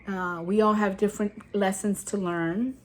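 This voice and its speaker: Slow-talking woman in her seventies. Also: mid-range voice, steady tone. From Guatemala. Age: 70s. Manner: chatty, digressive, warm asides to viewers. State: sweaty after a walk. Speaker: YouTube channel host. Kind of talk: casual personal storytelling to camera.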